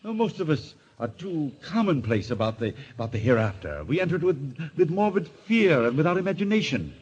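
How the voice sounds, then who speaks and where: A husky, urbane gentleman in an old radio mystery. He is world-weary and fastidious, with theatrical swoops in pitch, heard through a dull vintage recording. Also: sing-song. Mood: urbane, persuasive. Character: genteel, world-weary gentleman who relishes a stylish death. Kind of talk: 1940s radio drama acting.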